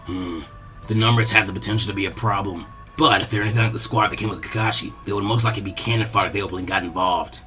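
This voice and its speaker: A man voicing a gruff, menacing ninja swordsman in an audiobook-style story, with a stern, warning growl. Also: fairly low pitch, steady tone, rapid-fire, music in the background, voice pushed down, lightly acted.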